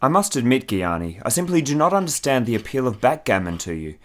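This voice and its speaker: Man playing a lively, opinionated army major. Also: mid-range voice, fast talker, lightly acted. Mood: dismissive. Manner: formal, opinionated. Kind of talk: radio play acting.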